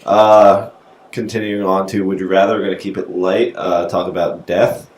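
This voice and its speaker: Low-voiced dry young man. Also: little pitch movement, normal speed, a little mellow. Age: 20s. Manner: dry, hesitant with fillers. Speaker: podcast co-host. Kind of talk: casual chat, reading topic rundown. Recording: echoey room.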